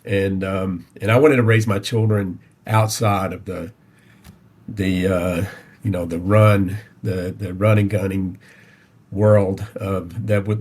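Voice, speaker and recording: Podcast guest: middle-aged Southern US man, lower-pitched, folksy and halting, recounting his life conversationally. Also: darkish tone. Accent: Southern American, Tennessee. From Tennessee, United States. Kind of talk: conversational personal storytelling.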